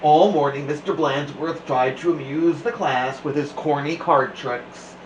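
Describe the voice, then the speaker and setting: A man hosting a children's storytime, reading aloud with deliberate, theatrical emphasis. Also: a little high, steady tone, muffled recording. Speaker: storytime host and reader. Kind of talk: expressive read-aloud narration for children. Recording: echoey room with faint noise.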